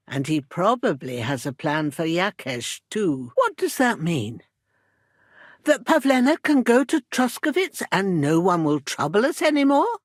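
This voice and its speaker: Husky, slow-spoken old village mother. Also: deep voice, dry recording, clean studio sound, full character voice. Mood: grateful, solemn. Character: worried old village herbalist mother, plain-spoken and grieving. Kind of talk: dramatic video game dialogue.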